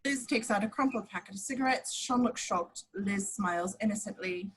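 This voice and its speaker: A woman's voice: mid-range voice, normal speed, breathy edge, poor audio. A woman reading action descriptions as the narrator of a scripted table read.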